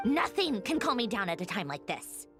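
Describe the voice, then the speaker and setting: A woman's voice: medium pitch, big pitch swings, moderate pace, over music, lightly acted. Woman playing a swooping, irritable, proud young researcher. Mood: exasperated. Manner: curt, dismissive, exasperated. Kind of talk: video game voice acting.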